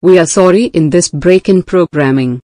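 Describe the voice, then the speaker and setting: A woman's voice: fairly low pitch, moderate pace, warm tone, no room sound. Dry synthetic female alert announcer. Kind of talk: scripted emergency broadcast announcement.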